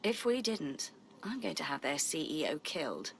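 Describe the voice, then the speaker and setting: A woman's voice: deep voice, big pitch swings, normal speed, gravelly, a little hiss or hum, heavily acted. An actress playing a sardonic, flirtatious, haughty woman in a video game, arch and teasing. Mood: coy flirting.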